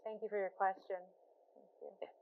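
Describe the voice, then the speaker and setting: A woman moderating a live interview, taking audience questions and repeating them back clearly. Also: mid-range voice, little pitch movement, normal speed, narrow, tinny audio.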